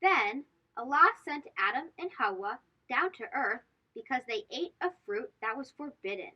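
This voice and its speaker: High, very lively woman reading to children. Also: normal speed, slightly rough, lo-fi sound. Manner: addresses young listeners with questions, emphatic storytelling delivery. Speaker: host of a children's read-aloud show. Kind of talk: children's storybook read-aloud.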